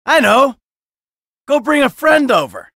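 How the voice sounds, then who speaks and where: A man's voice: extremely high pitch, sing-song, unhurried, creaky, sharp tone, dry recording, studio quality, full character voice. A man voicing a cynical, brash middle-aged American criminal in a video game, sarcastic and foul-mouthed. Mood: flirtatious, smug. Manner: sardonic, brash, swearing.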